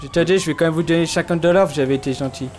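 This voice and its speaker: A man's voice: a little high, racing, darkish tone, a little hiss or hum. A man clowning through improvised video game roleplay, crude and repetitive in the way he talks. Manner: crude, clowning, repeats himself.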